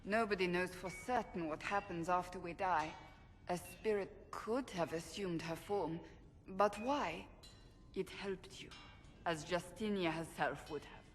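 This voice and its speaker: An actress playing a stern, devout, earnest warrior in a video game, speaking formally. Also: female voice, lowish voice, lightly acted. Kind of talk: video game dramatic acting. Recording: long reverb, background noise.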